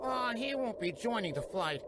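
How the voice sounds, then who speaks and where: A treacherous, boastful pilot gangster in a video game. His voice sits extremely high for a man and taunts with false courtesy. There is clear engine-like noise behind him. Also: expressive, moderate pace. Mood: gloating, resentful. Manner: mock-polite, boastful, taunting. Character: scheming, smug war-veteran pilot turned treacherous gangster. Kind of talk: video game cutscene voice acting.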